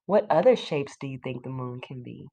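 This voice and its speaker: A woman teacher reading a children's book aloud to a class, pausing to explain simply and put questions to young listeners. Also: a bass voice, lively intonation, creaky, warm tone.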